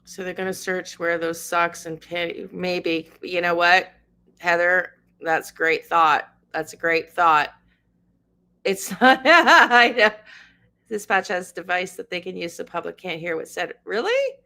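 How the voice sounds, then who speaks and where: Bright-voiced woman, chatty host. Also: on the low side, expressive, unhurried. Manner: chatty, talks to chat viewers by name. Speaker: livestream host. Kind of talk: casual livestream chat, reading news aloud.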